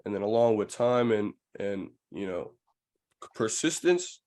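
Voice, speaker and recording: A man's voice: on the low side, animated, unhurried, darkish tone. A man hosting a podcast in casual conversation, with laid-back, slangy phrasing and an affirming way of talking. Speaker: podcast host.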